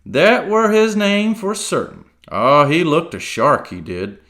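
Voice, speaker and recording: A man's voice: mid-range voice, sing-song, unhurried, a bit bright, pitched above the performer's own voice. A man reading aloud and voicing a cunning, one-legged pirate cook who blusters in salty sea talk. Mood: blustering.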